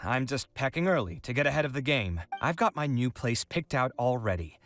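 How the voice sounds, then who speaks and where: A man's voice: mid-range voice, lively intonation, fairly quick, a bit bright, lightly acted. An actor playing a proud, dramatic young male architect in a video game, indignant and wordy. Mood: matter-of-fact. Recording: game audio with music behind.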